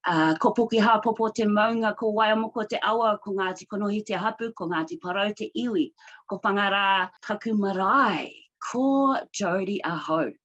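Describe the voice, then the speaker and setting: Expressive woman on a dull, lo-fi line. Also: mid-range voice, moderate pace. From New Zealand. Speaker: guest business owner. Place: rural home off the grid.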